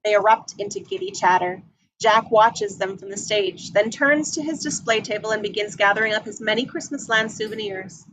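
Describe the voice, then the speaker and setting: A woman's voice: medium pitch, normal speed, a bit bright, no echo, poor audio. A woman reading out scripted scene descriptions and stage directions as a narrator. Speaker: reader of action descriptions.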